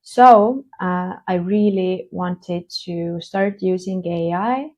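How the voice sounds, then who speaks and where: Slow-spoken woman from Estonia. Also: on the low side, darkish tone. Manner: slow, hesitant, with many fillers. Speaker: conference speaker. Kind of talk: keynote talk. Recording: dull lo-fi online stream.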